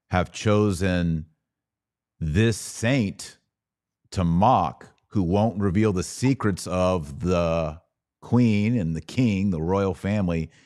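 Deep-voiced man hosting a podcast. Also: a little mellow, dry recording, clean studio sound. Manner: storytelling, explains at length. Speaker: podcast host. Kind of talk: casual conversational podcast.

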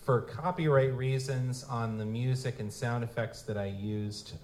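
A man's voice: mid-range voice, fairly even intonation, a little slow, darkish tone. A man speaking casually as host and producer, answering questions at a live event. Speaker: host, audio drama producer and writer. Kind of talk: casual Q&A talk with an audience. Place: convention room at a live event. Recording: live event room with background noise.

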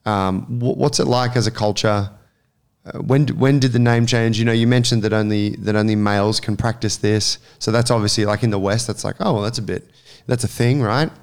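A male interviewer with a smooth, resonant voice. He asks his question casually and curiously, hedging a little as he frames it. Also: on the low side, steady tone, brisk.

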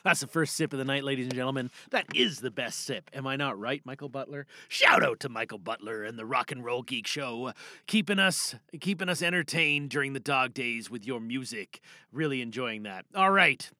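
A man's voice: mid-range voice, normal speed, sharp tone, dry recording, clean studio sound. A man hosting a podcast in a casual, chatty, playful way with a bit of showmanship. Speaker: podcast host and amateur voice performer.

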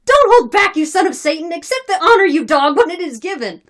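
A high, loud storybook rabble of drunken Cossack men, acted out by a woman reading aloud. They bellow orders and abuse belligerently, with big lively swoops in pitch. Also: a little fast, full character voice. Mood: rowdy, belligerent. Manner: shouting, belligerent. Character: rowdy drunken mob of Cossacks, bellowing demands and insults.

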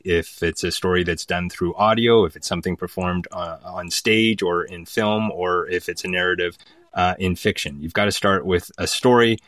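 A man's voice: low-pitched, fairly bright tone, dry recording, studio quality. A man from Alberta with a Canadian accent, explaining conversationally as a guest writer in an interview. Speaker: guest writer of audio drama.

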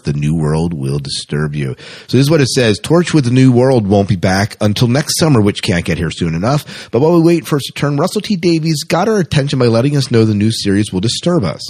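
A man's voice: lowish voice, a little mellow. A man co-hosting a podcast, chatting casually and reading out news informally with offhand asides. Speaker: podcast co-host.

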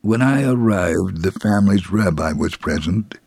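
Gravelly, devout storyteller. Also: male voice, deep voice, animated, unhurried, darkish tone, no echo, full character voice. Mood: solemn, reflective. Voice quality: gravelly, husky. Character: devout, gentle Holocaust survivor sharing his faith. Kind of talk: dramatic first-person reading.

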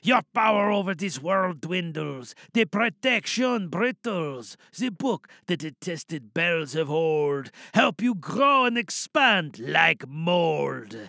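A man's voice: sits high, vocal fry, bright, forceful tone, no room sound, clean studio sound, heavily acted. A man voicing an aggrieved, brooding old mountain troll, declaiming menacing rhymed verse in an audio drama monologue.

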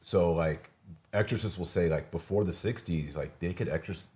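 A man with a notably low voice hosts a podcast discussion. He talks informally and drops in slang, keeping the exchange conversational. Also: moderate pace, a little mellow. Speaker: podcast host.

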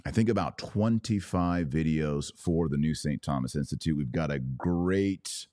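A man with an extremely low-pitched voice, a little raspy and tired from long hours of talking. He hosts conversationally, punching out short emphatic phrases as he comments and takes live questions. The sound is dry and close, as on a studio microphone. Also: unhurried, mellow, no echo. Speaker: podcast host. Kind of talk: casual religious commentary, answering live comments.

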